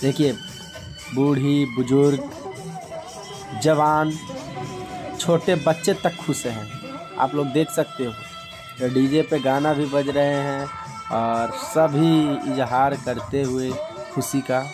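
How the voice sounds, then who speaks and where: A man hosting a street vlog, excitedly showing viewers an outdoor victory rally from a camera he carries. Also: mid-range voice, slow pace, pauses often. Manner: excited, hyping the crowd to viewers. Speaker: vlogger. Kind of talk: live street vlog commentary. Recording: loud DJ music behind the voice.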